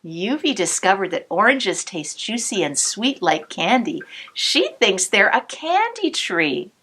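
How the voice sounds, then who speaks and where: A woman cantor reading a picture book aloud to children, pausing to explain the pictures, sometimes dropping to a whisper. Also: medium pitch, breathy edge. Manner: slow, expressive storybook reading with soft whispered asides. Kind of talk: read-aloud storytime with asides to kids.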